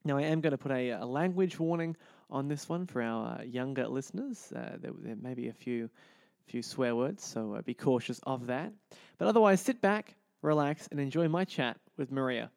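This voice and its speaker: A man's voice: mid-range voice, expressive, normal speed, gravelly, darkish tone, no room sound, clean studio sound. A man with an Australian accent hosting a podcast and interview in an enthusiastic, presenterly way. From Adelaide, Australia. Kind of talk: upbeat podcast introduction and casual interview.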